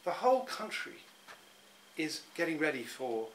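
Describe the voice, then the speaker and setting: A man's voice: a little high, big pitch swings, moderate pace, fairly bright tone. Middle-aged man, reflective interviewee, stop-start delivery, faint hum behind. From England. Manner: thoughtful, many restarts and repeated words.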